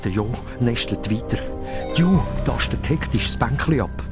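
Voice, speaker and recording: A man's voice: mid-range voice, lively intonation, moderate pace, over music. A man with a Swiss German accent narrating a children's radio play as dramatised scripted storytelling.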